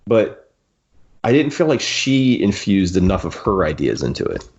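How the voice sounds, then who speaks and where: A man's voice: low-pitched, very animated, darkish tone. A man hosting a podcast, discussing a book casually and with strong opinions, often stopping to rephrase his thoughts. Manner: opinionated, halting and rephrasing as he goes. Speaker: podcast host. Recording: dull, muffled lo-fi audio.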